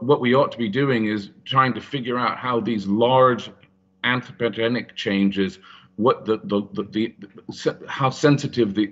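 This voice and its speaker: A man speaking on a panel over a video call, halting with many restarts and fillers. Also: on the low side. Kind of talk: panel discussion. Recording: muffled video call audio.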